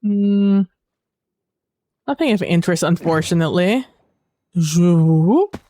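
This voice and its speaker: A woman's voice: fairly low pitch, animated, takes it slowly, mellow. A woman streaming a game on a headset mic, chatting casually and rambling with fillers while losing her voice. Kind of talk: casual gaming stream chat.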